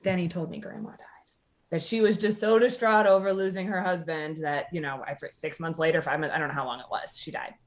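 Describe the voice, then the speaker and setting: A woman's voice: fairly low pitch, fairly even intonation, fast talker. A woman telling a personal story casually as a podcast guest, candid, sardonic and sweary, acting out the dialogue she quotes.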